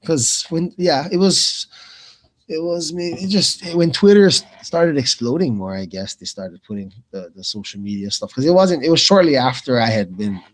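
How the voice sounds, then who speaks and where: A man's voice: a little high, animated, moderate pace. A man commentating live on fights in a casual, informal way, with plenty of bro and man. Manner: informal, slangy, calls people bro and man. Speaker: commentator, former pro fighter.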